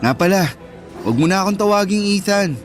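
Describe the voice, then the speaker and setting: A protective high school boyfriend in a Filipino radio drama. The voice is youthful and quite high, earnest and firm, with a light music bed underneath. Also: male voice, animated, brisk. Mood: tender, teasing.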